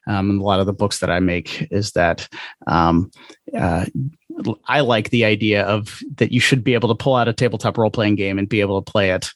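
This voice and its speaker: A man's voice: on the low side, a bit bright, no room sound, studio quality. A man hosting a podcast, chatting in an informal, agreeable way. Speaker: podcast host. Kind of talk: casual podcast chat.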